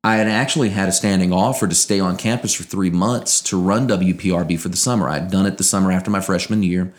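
A man's voice: low voice, fairly even intonation, fast talker. A man in his thirties from Louisiana with an American accent and a faint Southern twang, telling stories conversationally with precise, broadcaster-like enunciation. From Louisiana, United States. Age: 30s. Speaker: interview guest, sports broadcaster. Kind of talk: conversational storytelling.

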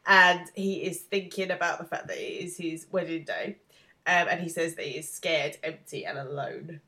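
A woman's voice: low voice, normal speed, sharp tone. British woman, blocked nose from a cold, giggly, self-deprecating, casual podcast co-host.

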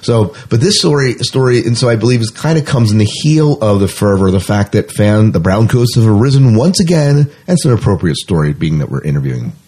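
A man co-hosting a podcast, chatting casually about the news in a husky voice. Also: on the low side, animated, a little fast, hardly any pauses, darkish tone. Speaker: podcast host.

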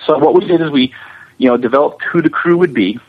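A man speaking as an interview guest, explaining his animation work with frequent pauses mid-phrase. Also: on the high side, slightly rough. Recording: muffled, lo-fi audio.

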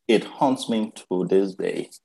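Slow, deliberate man. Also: deep voice, creaky, warm tone. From Liberia. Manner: slow, emphatic, repeats words when starting a thought. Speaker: guest. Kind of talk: reflective conversational storytelling.

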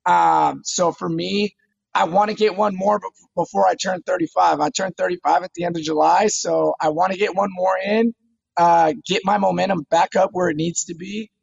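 Thirtysomething fighter guy, husky high voice, eager, trips over his starts, dry dull audio. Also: fairly even intonation, normal speed, dry recording. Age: 30s. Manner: eager, repeats words when starting phrases. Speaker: MMA fighter guest. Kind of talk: casual interview talk.

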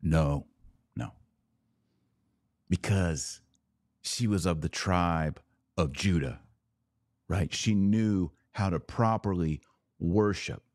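A man's voice: low voice, slow, pauses often, a little mellow, dry recording. A man hosting a livestreamed commentary podcast, talking emphatically with sarcastic, mocking asides. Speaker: podcast host.

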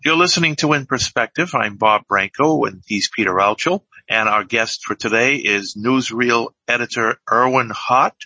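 A man's voice: medium pitch, normal speed, few pauses, pushed and bright, dry recording, muffled recording. A man hosting a program, announcing it and moderating the calls. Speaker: program host.

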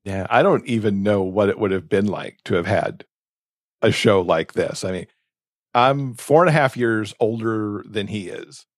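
A man's voice: lowish voice, a little slow, no room sound, studio quality. An American man in his fifties from Alabama, chatting casually and reflectively as a podcast host. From Alabama, United States. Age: 50s.

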